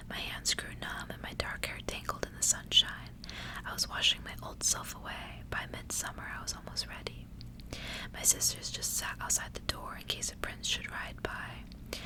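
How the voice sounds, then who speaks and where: Female ASMR reader needed, whispering throughout, soft and measured. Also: poor audio. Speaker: ASMR host reading a storybook aloud.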